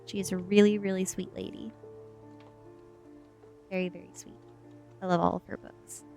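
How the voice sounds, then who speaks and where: Gentle woman reading softly over music. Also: fairly low pitch, little pitch movement, moderate pace, vocal fry. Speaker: streamer and storyteller. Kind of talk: soft ASMR chat and book reading. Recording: music behind the voice. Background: background music.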